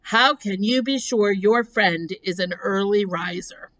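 A woman's voice: mid-range voice, a little slow, fairly bright tone, close to an everyday voice. A woman voicing a stern old sheep who scolds and reproves in a storybook. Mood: stern, annoyed. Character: oldest sheep, stern, disgusted, scolding elder.

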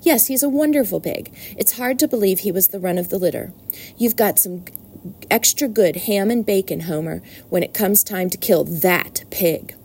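A woman voicing a plain-spoken male farmer with a slow, appraising manner. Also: mid-range voice, a little mellow, a little hiss or hum, lightly acted. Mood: admiring.

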